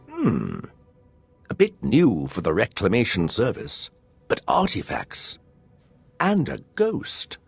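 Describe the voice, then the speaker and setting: An actor playing an eccentric, eager military archaeologist in a video game, musing with enthusiasm. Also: male voice, mid-range voice, vocal fry, husky, exaggerated voice. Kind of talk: game voice acting.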